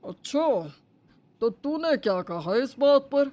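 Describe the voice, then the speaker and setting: Woman playing an animated, chiding mother-in-law. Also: sits high, slow, pitched above the performer's own voice. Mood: suspicious. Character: sharp-tongued but caring middle-aged mother-in-law.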